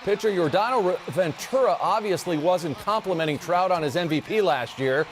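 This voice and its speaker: Male sports anchor, slightly high voice, quick punchy highlight reads, faint hiss underneath. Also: expressive. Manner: brisk, punchy play-by-play delivery. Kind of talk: local TV sportscast.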